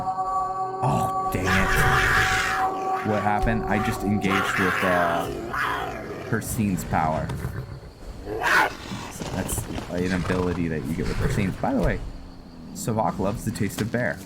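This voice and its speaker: A man giving casual gameplay commentary, thinking aloud in short, hesitant phrases. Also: fairly low pitch. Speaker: game streamer narrating a roleplay playthrough. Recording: loud game ambience and noise behind. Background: video game sounds, outdoor ambience.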